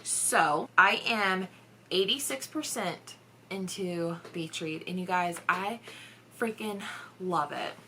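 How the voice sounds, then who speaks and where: A woman's voice: lowish voice, slow, bright, forceful tone, a little hiss or hum. A young adult woman chatting informally in a casual reading vlog, recorded indoors at home. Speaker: book vlogger.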